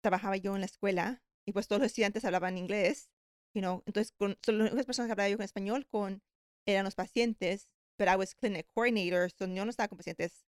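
A woman's voice: medium pitch, fairly even intonation, fast, smooth, no room sound, clean studio sound. A woman hosting a podcast, reacting eagerly and telling stories while mixing Spanish and English. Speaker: host. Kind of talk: casual bilingual conversation.

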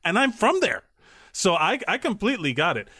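A man hosting a podcast in casual conversation, halting and repeating words as he thinks aloud. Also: high voice, wildly swooping pitch, sharp tone, breathy edge, no echo, studio quality. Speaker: podcast host.

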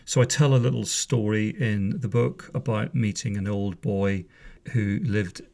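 A man in his fifties from Northern Ireland talks casually at home, wry and easygoing, drifting into asides. His voice sits fairly low and has a gravelly edge. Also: steady tone, a little slow, a little mellow. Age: 50s. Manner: chatty, wry, wanders off on asides. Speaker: podcast host, former police officer. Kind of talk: casual spoken monologue. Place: home room.

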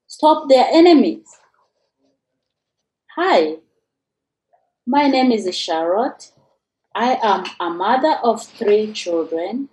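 Female radio storyteller, Rwandan accent, slightly high pitch, very unhurried delivery with dramatic pauses. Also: darkish tone, low-quality recording. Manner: dramatic pauses, rhetorical questions.

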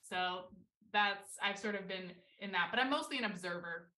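A woman from Maryland, a writer and teacher, chatting as a guest with a low voice, some vocal fry and many fillers. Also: moderate pace, pushed and bright. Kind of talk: casual interview chat. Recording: roomy, somewhat far from mic.

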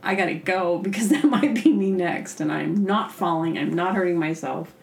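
A woman's voice: on the low side, moderate pace, creaky. Woman telling casual stories in an echoey room. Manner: animated, acting out her own reactions and asides; rambling, self-deprecating humor. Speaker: podcast host. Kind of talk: casual comedic storytelling. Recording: roomy, echoey sound.

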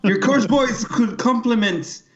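A man's voice: very high-pitched, measured pace, muffled recording, crowd noise. A man playing a tabletop game, chatting and joking with friends. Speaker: player. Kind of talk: casual game chat.

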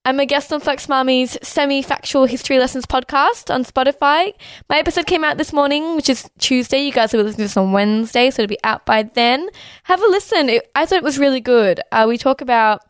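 A young woman hosts a podcast in easy, chatty talk, peppering her lines with "like" and "uh". Her voice is a little hoarse from a sore throat, yet smooth and clear, recorded dry and close with no room sound. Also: sits high, no echo. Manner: chatty, fast-flowing, with "like" and "uh" fillers. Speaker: podcast host. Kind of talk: casual podcast chat.

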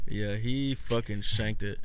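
A man's voice: lowish voice, fairly even intonation, slow, crowd noise, rough recording. A young man co-hosting a casual podcast chat, teasing his friends and swearing casually. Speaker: podcast co-host.

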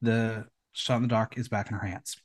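A man's voice: lowish voice, little pitch movement, moderate pace. A man hosting a casual recap show, narrating events in a playful, theatrical way and tossing out questions. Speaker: podcast host. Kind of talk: casual episode recap commentary with rhetorical questions.

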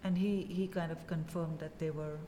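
A woman in her fifties from India with an Indian English accent, telling her story as a guest in a casual interview, measured and full of pauses. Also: sits low, fairly even intonation, dark tone, big-room sound, slight background noise. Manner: measured, many pauses and "uh" fillers.

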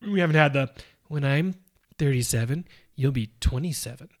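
A man co-hosting a casual podcast recap, animated and sarcastic, slipping into mocking impressions of people. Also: medium pitch, moderate pace. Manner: animated, mocks people with put-on quotes. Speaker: podcast co-host. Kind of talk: casual reality-TV recap chat.

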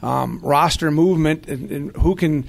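A man's voice: a little high, moderate pace, vocal fry. A man hosting a sports podcast in a conversational radio style, speaking earnestly and with complimentary phrasing.

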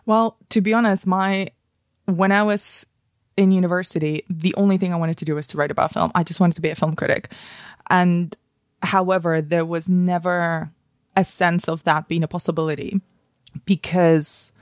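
A woman's voice: on the low side, fairly even intonation, normal speed, no room sound. Thoughtful Spanish-raised woman, dry close sound. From Spain. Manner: thoughtful, many restarts and fillers like "kind of". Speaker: guest, film industry professional. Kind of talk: podcast interview, reflective storytelling.

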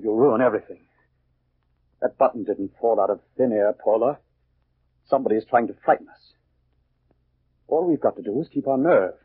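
A bullying cold husband in an old radio play, rough husky voice, tinny muffled sound. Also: male voice, medium pitch, no room sound. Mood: angry, commanding. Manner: curt, bullying, commanding. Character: cold, domineering murderous husband scheming for an inheritance. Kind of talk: 1940s radio acting.

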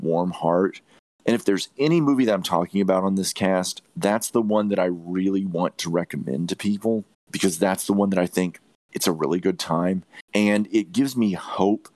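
Male podcast film reviewer, low voice, dry sound, emphatic and opinionated, repeats himself for stress. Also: a little mellow, no echo. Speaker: podcast host reviewing films. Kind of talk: opinionated movie review chat.

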